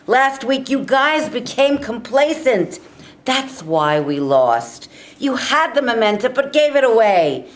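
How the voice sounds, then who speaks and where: A woman's voice: on the high side, sing-song, slow. A woman playing a demanding male football coach giving an emphatic, exhorting pep talk in a staged reading. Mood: rousing, demanding. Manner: emphatic, exhorting, building to shouts.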